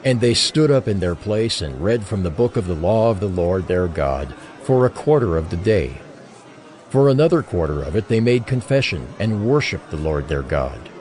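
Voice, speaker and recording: A man reading scripture as the narrator of an audio drama, in a measured, formal manner. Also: low voice, big pitch swings, a little mellow.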